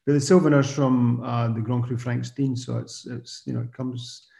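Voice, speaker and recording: A middle-aged man with a Scottish accent, chatting casually as a podcast guest, relaxed and rambling with frequent restarts. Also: medium pitch, moderate pace, creaky, echoey room. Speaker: guest, wine blogger. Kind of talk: casual conversation.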